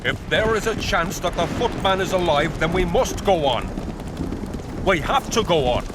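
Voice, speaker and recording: An actor playing a grave, dogged tracker in a gothic audio drama, solemn and plain-spoken. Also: male voice, sits high, lively intonation, moderate pace, heavy background noise. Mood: grave, determined. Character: grave, dogged, defiant tracker serving a doomed lord.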